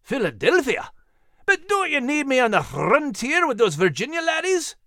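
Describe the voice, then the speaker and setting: A man voicing a gruff, loyal male dog with a Scottish accent in an audio storybook. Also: very high voice, huge pitch swings, normal speed, bright, forceful tone, no echo, clean studio sound, voice pushed up, exaggerated voice. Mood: puzzled. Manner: growling, folksy.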